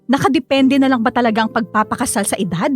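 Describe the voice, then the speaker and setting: A woman's voice: on the high side, fast talker, soft background music, natural voice. A woman acting in a radio drama as a feisty, supportive young daughter. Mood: indignant.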